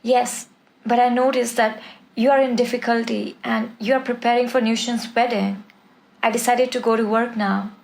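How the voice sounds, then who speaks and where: A woman's voice: fairly high pitch, little pitch movement, normal speed, gravelly, a little mellow. Woman acting an upset, pleading young niece. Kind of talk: screenplay table read.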